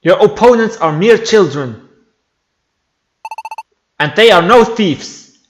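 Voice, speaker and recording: A man who is not a native English speaker voicing a dignified, gentle queen bee in a game, speaking formally and with composure. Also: high-pitched, lively intonation, slow, slight background noise. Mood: composed, apologetic. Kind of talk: amateur voiced game storyline. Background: loud game music.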